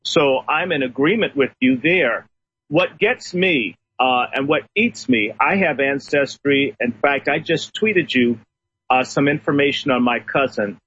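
A man's voice: medium pitch, a little slow, fairly bright tone, breathy edge, muffled recording. A man phoning in as a guest on a talk radio show, telling personal stories emphatically.

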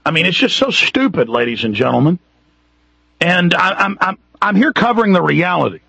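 Man, Texan accent, talk radio host, raspy, forceful, repetitive rant. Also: sits high, very animated, normal speed, dry recording. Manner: emphatic, repetitive, building intensity. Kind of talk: impassioned talk radio monologue. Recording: muffled radio broadcast.

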